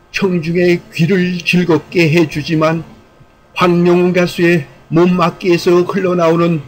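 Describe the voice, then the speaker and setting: A man narrates a prepared commentary in a somewhat high voice. His intonation stays very flat and even. The phrasing is formal and measured throughout. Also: low-quality recording. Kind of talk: scripted narration of a fan commentary.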